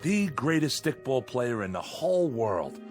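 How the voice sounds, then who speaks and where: A man's voice: mid-range voice, measured pace. An actor playing a boyish, nostalgic, restless married man in his late thirties, wistful and defensive, in a mid-century television drama. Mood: nostalgic, excited. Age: late 30s. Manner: excitable, wistful, defensive when nagged. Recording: vintage TV soundtrack with music.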